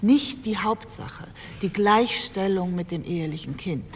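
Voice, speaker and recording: An actress playing an earnest postwar parliamentarian, making a measured and formal plea. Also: female voice, mid-range voice, lightly acted. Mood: earnest, pleading. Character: earnest female parliamentarian pleading for children's rights. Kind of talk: re-enacted parliamentary speech. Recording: hall reverb, faint ambient noise.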